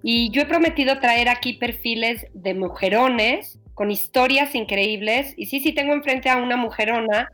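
A Mexican woman in her forties hosting a podcast interview, chatty and expressive, recording remotely. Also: on the high side, moderate pace, hardly any pauses, soft background music. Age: 40s. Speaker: podcast host.

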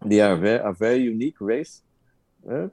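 A man co-hosting a casual podcast chat, speaking haltingly and often repeating his words. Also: on the low side, lively intonation, a little mellow, no echo. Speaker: podcast co-host.

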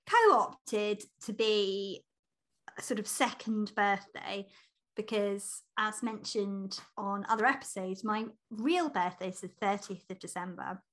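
A woman's voice: mid-range voice, fairly bright tone. A woman chatting casually and playfully as co-host of a book podcast. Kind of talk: casual unscripted book chat.

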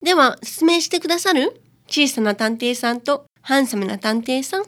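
A woman's voice: sits high, lively intonation, moderate pace, a bit bright. A woman playing an alluring, teasing older sister with refined, coquettish speech in a radio drama. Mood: composed, elegant.

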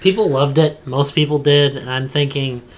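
A man's voice: mid-range voice, moderate pace. A man co-hosting a casual gaming chat, talking like an opinionated, informal fan who peppers his speech with "dude". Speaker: podcast co-host. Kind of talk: casual chat about video games.

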